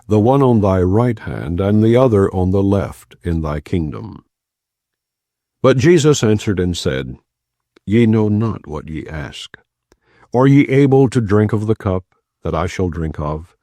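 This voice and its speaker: A man giving a plain, measured reading of scripture, slowing down for key phrases. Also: deep voice, a little mellow, no echo, clean studio sound. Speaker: Bible reader. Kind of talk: non-dramatized scripture reading.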